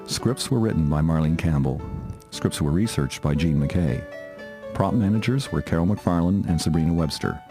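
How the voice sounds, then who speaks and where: A man's voice: extremely low pitch, expressive, normal speed. A man reading a scripted announcement as a radio drama's announcer. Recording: guitar music under voice.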